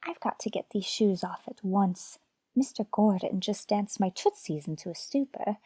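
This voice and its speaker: A woman's voice: medium pitch, lively intonation, moderate pace, warm tone, husky, dry recording. A woman playing a chatty, dotty older landlady in an old-time radio sitcom.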